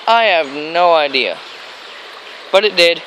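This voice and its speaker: Bright, higher-pitched American man outdoors. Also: expressive, slow, rough recording. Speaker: fishing vlogger. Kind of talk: casual outdoor vlog narration. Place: outdoors on a grassy riverbank. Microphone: handheld camera mic. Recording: outdoor handheld camera, stream and traffic noise.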